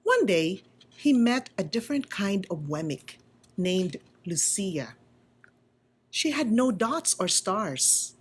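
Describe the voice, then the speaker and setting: A woman reading a children's story aloud in a deliberate, expressive way, like a school storytime. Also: medium pitch, slightly rough. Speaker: school principal reading aloud, religious sister. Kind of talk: scripted children's storybook narration.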